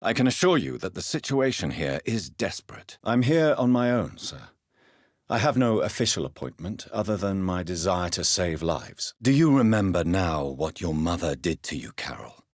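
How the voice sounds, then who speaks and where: A man playing an earnest, courteous English gentleman doctor in a video game, speaking formally and asking measured questions. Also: low-pitched, big pitch swings, dry recording, clean studio sound. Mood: earnest, serious. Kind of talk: video game character dialogue.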